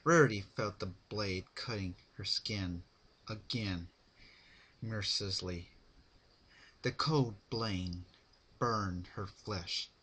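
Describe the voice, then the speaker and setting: Casting: male storyteller, unhurried halting delivery, bright forceful tone with a rasp, dramatic reading. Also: lowish voice. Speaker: narrator. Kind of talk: dramatic fan fiction reading.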